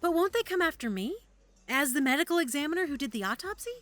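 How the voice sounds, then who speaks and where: Over faint music, a woman's fairly high voice moves with lively intonation. An actress plays a helpful, cautious medical examiner in an audio drama, conversational and slightly hesitant. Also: quick pace. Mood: hesitant, uneasy. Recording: music under the dialogue.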